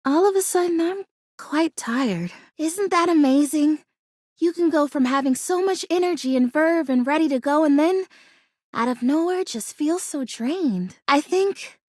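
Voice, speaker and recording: A woman's voice: sits high, expressive, a little slow, darkish tone, no room sound, clean studio sound. A woman voicing an earnest, hopeful plastic plant girl who longs to be real, a video game character with a dramatic, childlike way of talking. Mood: drained, wistful. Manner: earnest, dramatic, childlike phrasing and mild insults.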